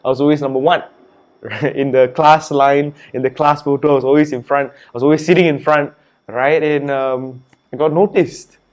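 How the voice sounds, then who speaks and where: A young adult man from Singapore, an author and entrepreneur speaking as a guest in a casual interview, relaxed and chatty, often saying "you know" and "man". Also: medium pitch, normal speed.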